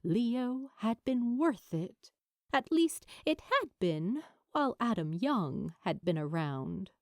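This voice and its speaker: Slow, very expressive woman narrating fiction. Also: on the high side, no room sound, clean studio sound. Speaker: audiobook narrator.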